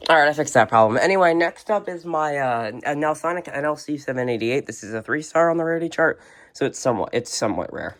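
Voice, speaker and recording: Casual, halting radio collector showing off gear. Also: mid-range voice, animated. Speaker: video host and weather radio collector. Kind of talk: casual unscripted show-and-tell narration. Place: indoors, in a room with shelves of radios.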